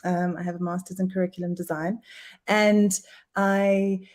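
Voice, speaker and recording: A woman's voice: on the low side, little pitch movement, normal speed, darkish tone. A woman speaking as a guest in an online session, friendly and chatty. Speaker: guest speaker, commercial director. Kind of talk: conversational webinar talk.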